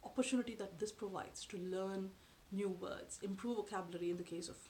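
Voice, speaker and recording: A woman in her thirties whose first language is Tamil, talking to camera from a sofa in her living room in a measured, thoughtful way with frequent pauses. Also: medium pitch, darkish tone, slight background noise. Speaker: vlogger. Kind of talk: explanatory talk to camera. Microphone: camera in front at close range.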